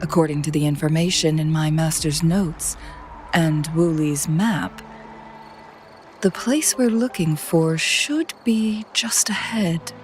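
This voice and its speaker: An actress playing a poised, shrewd young female strategist in a video game, composed, deliberate and formal in her speech. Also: low-pitched, soft background music. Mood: composed, sly.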